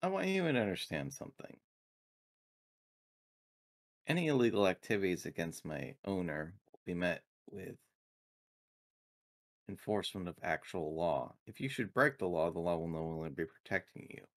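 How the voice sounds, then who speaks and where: A man's voice: deep voice, very animated. A man voicing a defiant, dutiful female android who speaks formally and firmly in a tabletop game. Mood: warning. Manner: formal, firm, deliberate wording.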